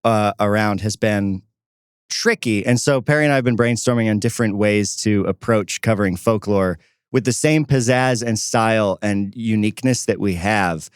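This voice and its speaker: A male co-host talks in an informal podcast manner. His voice sits a bit low, and the recording is dry with no room sound. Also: normal speed, smooth, dry recording. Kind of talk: casual podcast chat.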